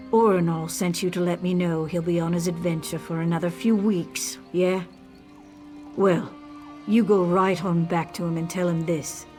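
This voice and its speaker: A woman voicing a grumpy, sharp-tongued, put-upon commoner in scripted game dialogue. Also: on the low side, natural voice.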